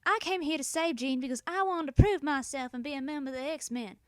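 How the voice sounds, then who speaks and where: A woman plays a sassy teenage goth girl with a high voice and a quick temper. She talks in sarcastic, folksy slang, in a dry close recording for a cartoon parody. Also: no room sound, lightly acted. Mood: fed up. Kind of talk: abridged cartoon parody dub.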